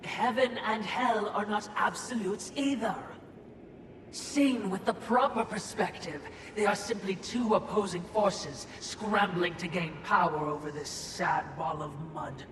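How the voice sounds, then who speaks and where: A woman's voice: mid-range voice, unhurried, a bit bright, natural voice. A woman playing a sly, philosophizing supernatural watcher in a video game, wordy, insinuating and falsely deferential. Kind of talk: dramatic video game voice acting. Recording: echoey, distant, noisy ambience.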